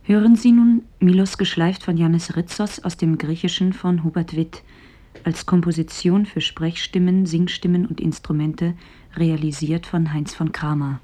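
A woman's voice: on the low side, fairly even intonation, moderate pace, warm tone. A woman radio announcer formally reading a scripted programme announcement.

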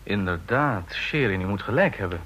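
An actor in a Dutch radio play voicing a courteous, authoritative army general with a formal, commanding manner. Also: male voice, on the low side, animated, moderate pace, fairly bright tone, husky. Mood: considering.

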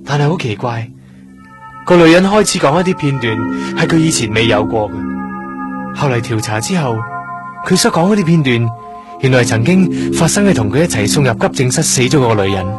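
A man with a Hong Kong accent playing an earnest, curious young trainee doctor in a radio drama, explaining things in a measured way. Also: mid-range voice, expressive, music in the background, natural voice. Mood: earnest, explanatory. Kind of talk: Cantonese radio drama acting, explanatory dialogue.

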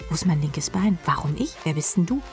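A woman playing a jaded, sarcastic rock drummer who narrates her misadventures in a radio play, wry, deadpan and slangy. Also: sits low, a little fast. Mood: exasperated. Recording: music behind the voice.

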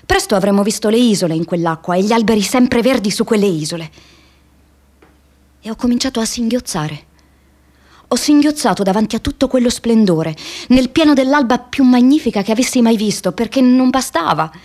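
A woman's voice: fairly high pitch, animated, brisk, a little mellow. An actress playing an earnest, reflective young woman activist in an intimate, confessional radio theatre monologue. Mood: wistful, emotional.

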